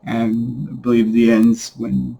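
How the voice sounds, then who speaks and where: A man with a husky voice giving a solemn, declamatory recitation of an old text, phrased deliberately. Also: mid-range voice, little pitch movement, dark tone, poor audio. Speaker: reader.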